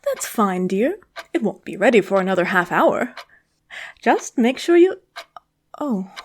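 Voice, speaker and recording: A woman's voice: mid-range voice, very animated, a little slow, breathy edge. A woman playing a doting elderly aunt in a comic dub, fussing and chiding with affection. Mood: gently fussing. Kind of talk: dramatic comic dub acting.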